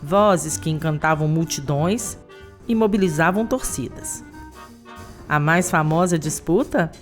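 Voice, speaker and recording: A woman's voice: sits low, measured pace, faint music behind. A woman with a Brazilian accent, reading scripted documentary narration.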